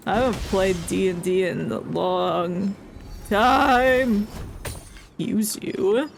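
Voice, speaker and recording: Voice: medium pitch, drawn-out words, vocal fry. A video game streamer giving casual live commentary, rambling off into goofy asides. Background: game music.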